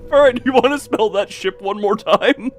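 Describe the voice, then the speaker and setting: A man's voice: sits high, a little slow. A man chatting casually on a livestream as host, exclamatory and playfully exasperated. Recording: music behind the voice. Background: loud background music.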